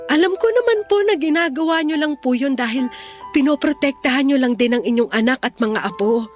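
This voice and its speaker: Casting: young Filipino daughter-in-law, high voice, patient and forgiving, radio drama with light music behind. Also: female voice, lively intonation, a little fast. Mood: gentle, respectful. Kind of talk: Tagalog radio drama acting.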